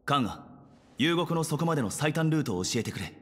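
Male actor: composed young ally, steady and urgent, long reverb. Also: on the high side, fairly quick, close to an everyday voice. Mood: serious. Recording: reverberant game audio with music.

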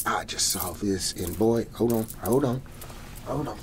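A man playing a folksy, excitable garage-sale salesman in an ASMR roleplay, whispery and breathy, rambling and repeating his hard sell. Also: on the low side, measured pace, background noise, close to an everyday voice. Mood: coaxing, insistent. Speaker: host playing a character.

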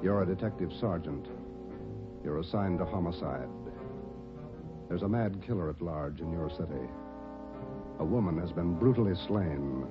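A man with an American accent announcing an old radio crime drama in a grave, emphatic way. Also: low-pitched. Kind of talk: 1950s radio drama announcing, dramatic second-person narration. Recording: dull old radio recording. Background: dramatic brass music.

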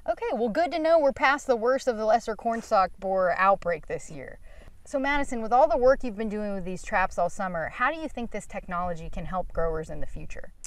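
A woman in her thirties hosts an agricultural explainer from an open field. Her voice sits at a middle pitch as she explains and asks questions into a clip-on mic. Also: animated, a little fast, dry recording. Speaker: host, agricultural technical service manager. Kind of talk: explanatory field interview for a company video. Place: outdoors in an open peanut field. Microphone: clip-on lavalier.

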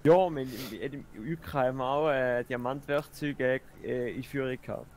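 A man's voice: mid-range voice, normal speed, a little mellow, a little hiss or hum. A man chatting casually with a gaming partner, mostly in short reactions and questions. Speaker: gaming companion. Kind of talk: casual chat.

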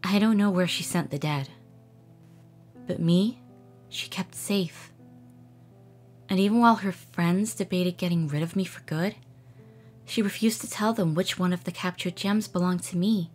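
A woman voicing a melancholy, guarded young female alien water gem in a cartoon dub, wistful and halting. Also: on the low side, darkish tone, faint music behind. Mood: regretful. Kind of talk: dramatic cartoon comic dub.

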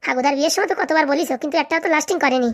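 Cartoon comedy: actress as scheming, mouthy village lad, high voice, breakneck sarcastic delivery. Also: female voice, lightly acted. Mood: sceptical. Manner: sarcastic, blunt, quick-tongued. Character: cheeky, sarcastic young village man, scheming and bossy.

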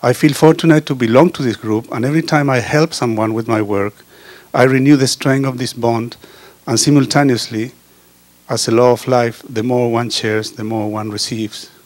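A man reads an earnest prepared speech into a handheld microphone. His delivery is halting, with frequent pauses and restarts, and his pitch sits at an ordinary middle level for a man. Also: steady tone, darkish tone. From Chile. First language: Spanish. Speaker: osteopath giving a testimonial. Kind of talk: reading a prepared personal speech. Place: indoor meeting room.

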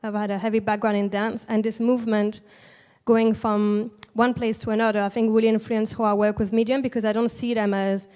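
Soft-spoken French woman in a reverberant hall. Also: a little high, little pitch movement, brisk, clear, resonant tone. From France, Alsace. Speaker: artist guest. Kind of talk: stage interview. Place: large indoor event space at a museum, on stage. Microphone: handheld mic.

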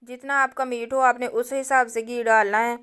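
A woman, narrating a home cooking recipe step by step and speaking directly to her viewers in a calm, instructive way. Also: a little high, moderate pace, smooth. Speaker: cooking channel host.

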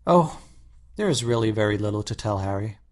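An actor playing an earnest, anxious painter in a dramatic audiobook, speaking intensely and articulately. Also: male voice, fairly low pitch, expressive, brisk, darkish tone, lightly acted. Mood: hesitant. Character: earnest, anxious male painter confessing his obsession.

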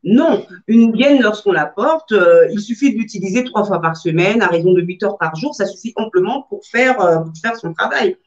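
A woman in her thirties answering interview questions from home over a video call, speaking in a measured way with frequent fillers and restarts. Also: mid-range voice, expressive, a little mellow. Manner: measured, hesitant with many fillers. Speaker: guest entrepreneur. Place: room at home, indoors. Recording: dull lo-fi video-call audio.

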